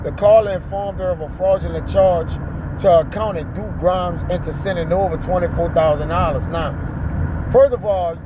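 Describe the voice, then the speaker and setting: A man hosting a ride-along talk, reading aloud and commenting in a colloquial, exhorting way with frequent checking tags, recorded inside a vehicle. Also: high-pitched. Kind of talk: preachy casual commentary, reading an article aloud and riffing. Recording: muffled audio with loud car noise. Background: car and road noise.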